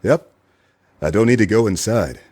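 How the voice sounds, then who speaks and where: Man acting a low-voiced wry private detective. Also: expressive, normal speed, a little mellow, husky. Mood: teasing, friendly. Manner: wry, wisecracking. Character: wry hard-boiled male private detective. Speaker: lead actor and narrator. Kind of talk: noir radio play acting and narration.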